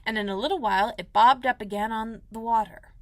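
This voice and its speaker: A woman reading a children's story aloud as a librarian, expressive, with dramatic emphasis and repetition. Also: a little high, a little fast, no room sound.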